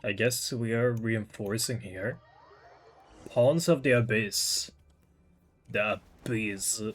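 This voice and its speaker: A man's voice: lowish voice, slow. A man giving casual, playful game commentary as a streamer, muttering reactions as he plays.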